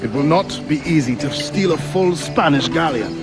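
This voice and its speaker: An actor playing a loyal, keen-eyed pirate quartermaster with measured, formal phrasing in a video game. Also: male voice, fairly high pitch, lively intonation, close to an everyday voice. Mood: approving, measured. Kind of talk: cinematic video game acting. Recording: loud music under the voice.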